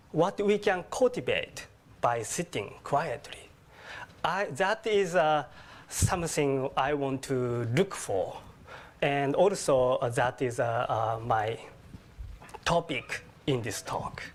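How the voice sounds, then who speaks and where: A man from Japan with a Japanese accent, giving an academic lecture slowly, with halting restarts and self-corrections. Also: mid-range voice, expressive. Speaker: guest lecturer. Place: lecture hall.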